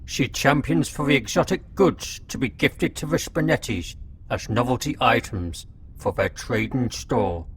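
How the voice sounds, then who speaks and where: A man's voice: unhurried, close to an everyday voice. A man voicing a talking locust, a gossipy messenger in a radio drama. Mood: reporting gravely. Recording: clear background noise with low rumble.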